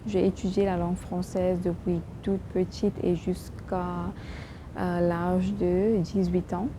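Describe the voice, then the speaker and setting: A woman vlogger speaking French with a strong non-native accent as an English speaker. She talks halting and earnest, restarting her sentences often. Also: lowish voice, flat delivery, noisy background. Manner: halting, many restarts and fillers. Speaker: YouTube vlogger. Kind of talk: casual talk to camera.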